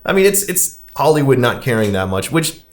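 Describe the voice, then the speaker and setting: A man's voice: mid-range voice, big pitch swings, fairly quick. A man hosting a casual movie discussion, recapping in a conversational way with frequent asides. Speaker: podcast host.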